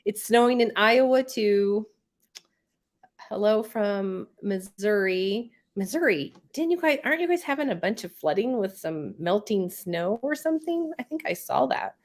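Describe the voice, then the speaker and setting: A woman's voice: medium pitch, expressive, a little slow. A woman with a congested head cold hosting a casual live stream, chatty and rambling as she reads out viewer comments. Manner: chatty, rambling, laughs often.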